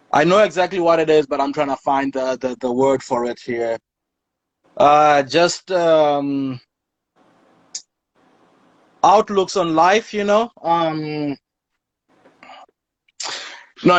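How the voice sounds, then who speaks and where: A man from Zimbabwe, a poet guest on an interview show, speaking reflectively and often pausing to search for the right words. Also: on the high side, no room sound, poor audio. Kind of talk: casual interview talk.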